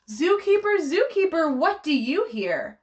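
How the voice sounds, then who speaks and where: A woman with a high voice leads a storytime for babies. She takes her time, shaping phrases in a sing-song, child-directed way. Her manner is encouraging and full of simple exclamations. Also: very animated, slow, dull audio. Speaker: children's librarian leading a baby storytime. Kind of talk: sing-song picture book read-aloud and coaching for babies and parents.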